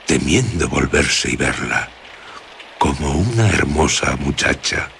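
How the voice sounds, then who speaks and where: A man playing a mysterious narrator in a radio horror drama, speaking deliberately and suspensefully with long pauses. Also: a bass voice, expressive, gravelly, fairly bright tone, a little hiss or hum, exaggerated voice. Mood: insistent, grave. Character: mysterious, ominous male storyteller coaxing a frightened woman. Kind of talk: slow, dramatic radio storytelling.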